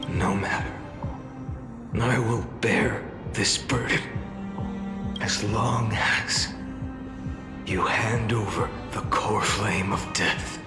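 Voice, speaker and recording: An actor playing a weary, burdened young warrior in a game, solemn, measured and grimly resolute. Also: male voice, lowish voice, fairly even intonation. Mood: grim resolve. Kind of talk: dramatic game voice acting. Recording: echoing voice over loud music.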